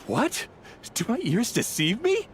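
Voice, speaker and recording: A man voicing an excitable, hesitant tavern patron in a video game, with faint noise behind. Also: very high voice, measured pace, full character voice. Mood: incredulous.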